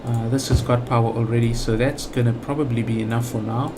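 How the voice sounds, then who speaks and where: A man's voice: medium pitch, steady tone, a little fast, music in the background. A man with a South African accent giving casual let's play commentary, thinking aloud with lots of fillers and restarts. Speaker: gaming channel host.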